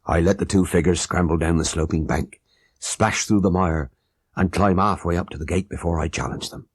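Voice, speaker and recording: A man's voice: low-pitched, steady tone, moderate pace, husky, no echo, clean studio sound. A man playing an old-time ex-soldier who earnestly and vividly recounts his crime in an audiobook. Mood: tense recounting. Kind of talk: audiobook character narration.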